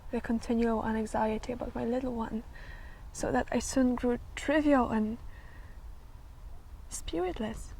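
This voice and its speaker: Casting: woman to play wounded young actress in classic stage drama, high voice, slow halting delivery, fragile but fervent. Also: creaky, a little mellow, slight background noise, low-quality recording. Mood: downcast, wistful. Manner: halting, distracted, emotionally wavering.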